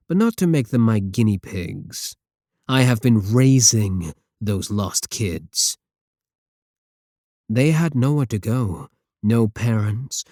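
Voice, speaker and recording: A man's voice: fairly low pitch, warm tone, dry recording, studio quality. A man playing a possessive, seductive supervillain in an ASMR audio roleplay, with theatrical, condescending endearments and an idealistic contempt for the world. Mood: bitter, indignant.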